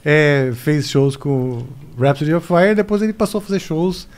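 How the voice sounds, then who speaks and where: A man's voice: fairly high pitch, steady tone, normal speed, darkish tone. A man in his forties, a guest musician, telling jokey stories in a casual podcast chat in a studio. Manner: storytelling, joking. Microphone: studio mic on boom arm.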